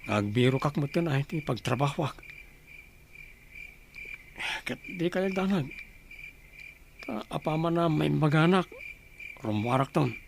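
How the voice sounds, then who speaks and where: A man's voice: on the high side, lively intonation, slow, vocal fry, pauses often, noisy background, full character voice. A man with a northern Philippine accent playing a lazy, complaining young son in a comedy radio drama. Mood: defensive.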